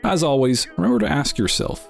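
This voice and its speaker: A male host delivers scripted narration in a mid-pitched voice. His manner is dry and tongue-in-cheek, like wry commentary on a strange tale. Music runs underneath. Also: big pitch swings, a little fast. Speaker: show host. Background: background music.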